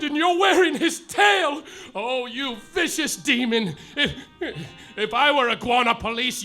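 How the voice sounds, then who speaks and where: An actor playing a bumbling, melodramatic private detective, narrating in a spoofed hardboiled style and bursting into shouted outbursts, on a live theater stage. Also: male voice, extremely high pitch, exaggerated voice. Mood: frantic. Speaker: actor in a live comedy audio play.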